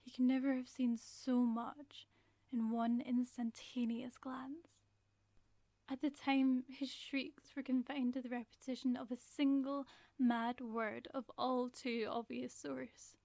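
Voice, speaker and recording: A haunted woman professor delivers a grave warning as a radio drama monologue. Her voice sits on the high side and stays very level, with formal, deliberate phrasing. The sound is dry and close, with no room around it. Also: flat delivery, dark tone, dry recording. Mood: haunted, brooding. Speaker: narrator.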